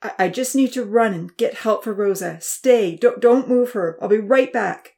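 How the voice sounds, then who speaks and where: A woman's voice: medium pitch, normal speed, vocal fry, dark tone, pitched above the performer's own voice, lightly acted. A woman doing a panicked, sobbing uncle. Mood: urgent. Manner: panicked, breathless, sobbing, stammering. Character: frantic middle-aged uncle in panic.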